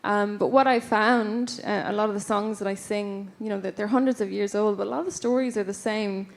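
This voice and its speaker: A woman's voice: mid-range voice, fairly even intonation, brisk, gravelly. A woman from the west of Ireland with an Irish accent, giving an award acceptance speech on stage at a stage microphone, hesitant, with many fillers and restarts. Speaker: award winner, folk musician. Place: stage of a ballroom.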